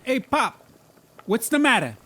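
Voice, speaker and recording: A man's voice: very high voice, big pitch swings, unhurried, bright, forceful tone, breathy edge, pitched above the performer's own voice, exaggerated voice. A man voicing an easygoing, concerned young Italian-American son from the city in an audiobook, with noise behind him. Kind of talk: audiobook character voice.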